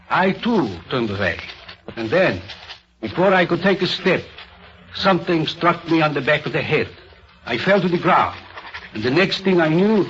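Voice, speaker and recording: A man playing a fearful watchmaker recounting a nightmare in an old-time radio drama, deliberate and dramatic. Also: on the high side, fairly bright tone. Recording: dull, slightly noisy old radio recording.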